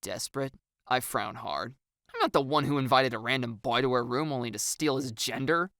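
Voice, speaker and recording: A narrator reading a first-person story with acted dialogue, in an expressive and wry storytelling manner. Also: medium pitch, a little fast, no echo, clean studio sound.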